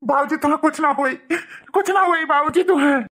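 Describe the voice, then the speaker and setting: A man's voice: very high-pitched, brisk, sharp tone. Man acting emotional Awadhi cartoon son. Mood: pleading, distressed. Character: devoted son and scornful, hot-tempered husband. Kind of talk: cartoon dialogue.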